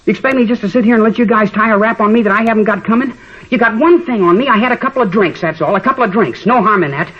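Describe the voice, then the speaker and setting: A man's voice: high-pitched, racing. A man playing a sullen, defiant fifteen-year-old troublemaker in a 1950s radio drama, surly and insolent. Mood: defiant, agitated. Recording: muffled, faintly noisy old radio recording.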